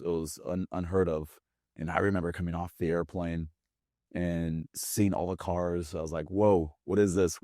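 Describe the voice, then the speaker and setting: A man in his forties, born in Brazil and native in Portuguese, telling his life story as an interview guest, animated, with frequent restarts. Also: low-pitched, no room sound, clean studio sound. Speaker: guest, business owner.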